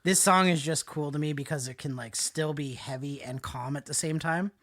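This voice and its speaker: A man with a fairly high, nasal voice chats casually as a podcast host. He talks about music in loose, slangy, joking language, with a very bright, forward tone. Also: normal speed. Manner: jokey, informal, slangy. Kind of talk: casual chat.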